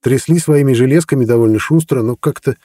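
A man reading an audiobook voicing a blunt young woman warrior who talks frankly and briskly. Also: mid-range voice, warm tone, no echo, clean studio sound, voice pushed up, natural voice. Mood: recounting. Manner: brisk, frank and matter-of-fact.

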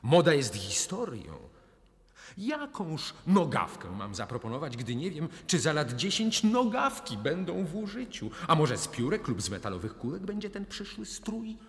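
Pompous maestro in echoing hall. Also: male voice, fairly high pitch, big pitch swings, moderate pace. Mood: pompous musing. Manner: oratorical, pompous. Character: solemn, self-important master of fashion. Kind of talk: theatrical radio play acting. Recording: long echo of a large hall.

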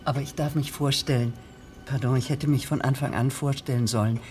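An actress in a radio drama playing a deferential, officious village bureaucrat who speaks in wordy, winding formal sentences. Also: female voice, very deep voice, steady tone, brisk, a little mellow, soft background music. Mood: apologetic, formal. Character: fussy, long-winded female village official, deferential bureaucrat.

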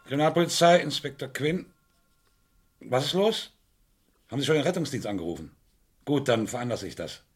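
A man playing a brisk, commanding police inspector, businesslike and terse, in a German radio crime play. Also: mid-range voice, lively intonation, slightly rough.

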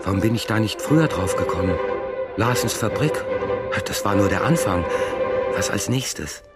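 An actor in a German radio play voicing an embittered, obsessed hobby builder who mutters resentfully and with determination. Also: male voice, fairly low pitch, lively intonation, moderate pace, over loud music, natural voice. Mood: brooding, resentful. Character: embittered, obsessed model-town builder, a grown married man.

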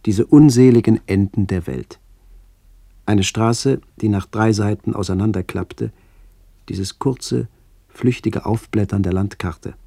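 Dark gravelly man in a radio play, brooding over his lost village, halting reflective phrases. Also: lowish voice, no room sound, studio quality, heavily acted. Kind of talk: literary radio play narration.

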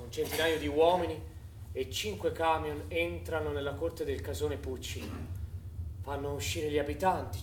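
A man, a stage actor, performing dramatic scripted narration as a theatrical storyteller. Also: on the high side. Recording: echoey theatre recording with faint noise.

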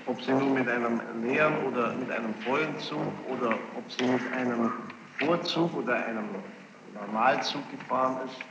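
A man's voice: mid-range voice, normal speed. A man formally questioning a witness in court, insistent and probing, often repeating answers back. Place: large reverberant courtroom. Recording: muffled, noisy, echoey archival courtroom tape.

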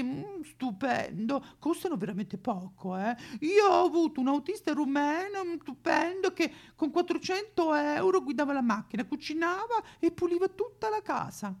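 Shrill, creaky, snobbish housewife. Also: male voice, lively intonation, moderate pace, few pauses, fairly bright tone, exaggerated voice. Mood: rambling, swooning. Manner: affected, rambling, swooning, whimpering. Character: batty, snobbish, xenophobic rich housewife, easily distracted. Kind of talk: satirical character monologue.

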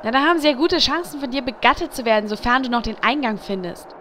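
A woman playing a young, sharp-tongued undead priestess in a fantasy parody audio drama, with a brisk, snappy manner. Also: fairly high pitch, expressive. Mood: scornful. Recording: background noise.

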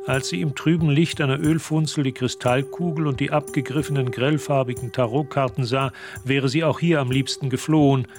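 An actor playing the composed narrator of a radio drama, giving measured literary narration of a dark mystery. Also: male voice, medium pitch, lightly acted.

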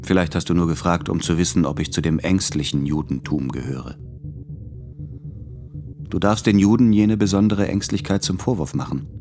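An actor playing an earnest, insecure man in love in a radio play, speaking carefully and haltingly. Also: deep voice, music in the background. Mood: uneasy. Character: earnest, insecure lover pleading with his beloved. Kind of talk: intimate German radio play dialogue.

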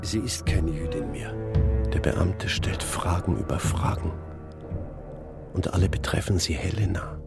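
An actor playing a troubled, tender man, narrating in a hushed, subdued, gravelly voice in a radio drama. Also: sits low, a little slow, long pauses, loud music behind the voice. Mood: subdued worry.

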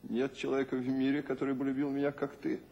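An actor playing an ailing, tormented, restless poet with a husky voice in a Soviet radio drama. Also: male voice, mid-range voice, monotone, quick pace, dark tone, lo-fi sound, lightly acted. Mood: tender, reproachful.

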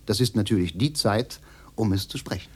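A man's voice: on the low side, a little fast, breathy edge. A man playing an eager, polite salesman in a radio drama, persuasive and placating. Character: smooth-talking, eager male machine salesman, placating.

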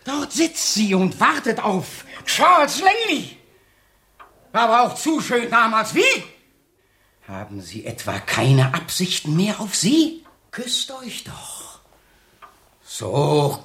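An actor playing a sinister, jealous doctor in a radio drama, taunting and mocking, sometimes dropping to a whisper. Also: male voice, high-pitched, wildly swooping pitch, slow pace, sharp tone, slightly rough, full character voice. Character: sinister jealous doctor, mocking husband, menacingly controlled, then raving. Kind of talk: 1970s German radio drama acting.

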